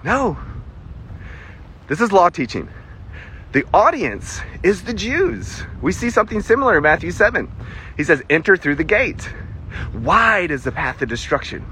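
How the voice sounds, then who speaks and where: A man's voice: sits high, very animated, normal speed, long pauses, bright, forceful tone, a little hiss or hum. A man with a Southern American accent teaching the Bible conversationally, with emphatic delivery and rhetorical questions.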